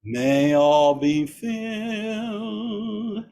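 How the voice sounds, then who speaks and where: A man with a raspy, hoarse voice leading a Buddhist service online, slowly intoning chants and gentle instructions. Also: sits high, faint music behind. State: hoarse after surgery. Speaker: Buddhist teacher leading practice. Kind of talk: leading a chanted metta practice.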